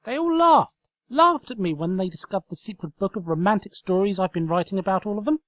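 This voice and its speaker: A man's voice: expressive, a little fast, dry recording, clean studio sound. A man voicing a manic, bossy young female unicorn in a comedic story reading, ranting and melodramatic. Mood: exasperated. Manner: shrill, ranting, insistent.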